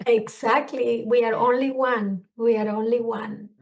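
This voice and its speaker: A woman's voice: fairly high pitch, unhurried, gravelly. A middle-aged woman with a Spanish accent, speaking English as a second language, earnestly talking as a counselor and guest on a podcast. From Spanish-speaking country. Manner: earnest, expressive, searching for words. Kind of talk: conversational interview.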